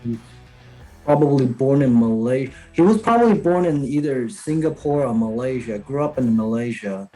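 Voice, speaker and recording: A man's voice: mid-range voice, moderate pace, faint music behind, rough recording. Chinese-accented man chatting hesitantly. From China. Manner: hesitant, many fillers, animated storytelling. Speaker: guest comedian. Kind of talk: casual chat.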